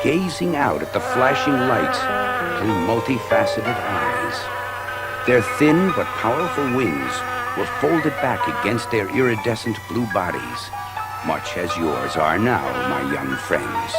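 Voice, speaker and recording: A grave elder insect warrior, narrates a children's story record. The voice is low and slow, with big dramatic swings in pitch. Music plays underneath the deliberate, theatrical telling. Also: male voice, rough recording. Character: solemn elder insect warrior telling sacred ancestral history.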